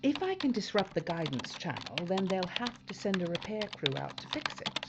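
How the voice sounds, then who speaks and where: A woman playing a weary, determined spaceship pilot and commander in a science fiction audio drama, brisk and businesslike with a wry edge. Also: medium pitch, big pitch swings, background noise. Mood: measured, wry.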